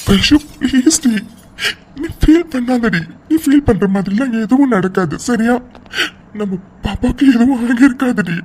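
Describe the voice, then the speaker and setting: A woman playing an anxious young husband in an emotional audio drama, pleading tenderly and haltingly as he reassures his wife. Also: fairly high pitch, a little mellow, breathy edge. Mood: pleading, tearful. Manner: pleading, halting, repeats words, tearful. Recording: faint background noise.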